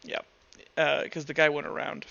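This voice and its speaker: Male game master, clean smooth voice on the high side, halting improvised narration, slightly muffled sound. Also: completely flat delivery, bright, forceful tone. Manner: halting, thinks aloud while narrating. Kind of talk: improvised narration and table talk in a tabletop roleplay session.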